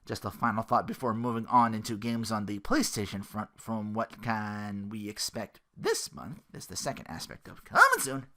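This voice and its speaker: A man's voice: fairly low pitch, steady tone, moderate pace, hardly any pauses, pushed and bright. A man hosting a gaming podcast in a casual, rambling, slangy monologue, often acting out imagined quotes. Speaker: podcast host.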